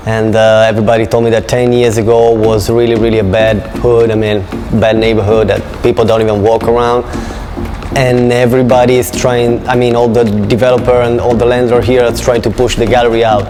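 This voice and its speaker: A man's voice: medium pitch, level tone, a little fast, background noise. A man with an Italian accent, a native Italian speaker, explaining things informally in a casual interview as a local shop owner. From Italy.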